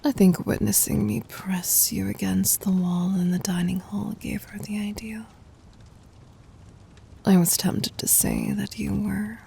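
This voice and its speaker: A woman playing a gentle, dominant demon queen in an ASMR roleplay, teasing and intimate, in a breathy whisper. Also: mid-range voice, fairly even intonation, heavily acted. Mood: playful, possessive. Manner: slow, intimate, commanding.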